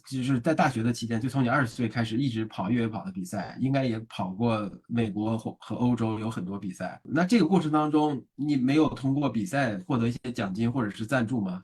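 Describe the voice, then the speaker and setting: A man's voice: mid-range voice, animated, quick pace, a little mellow, slightly rough. A male podcast host asking long questions, often stammering and repeating words. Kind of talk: casual interview chat.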